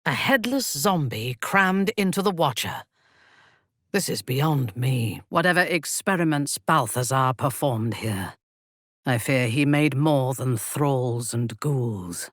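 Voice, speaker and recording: An actress playing a ruthless, haughty dark elf warrior-priestess in a fantasy video game, speaking with deliberate menace in a husky voice. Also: female voice, rumbling low, dry recording, studio quality, exaggerated voice. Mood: grave.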